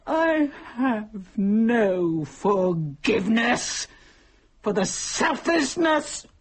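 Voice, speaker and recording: A woman performs as a bereaved, embittered village mother wasting away from hunger. Her voice is fairly high and husky, and she speaks very slowly and solemnly. It is a mournful dramatic monologue from a horror radio play. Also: heavily acted. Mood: bitter accusation. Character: grieving, starving, embittered village widow and mother, dying.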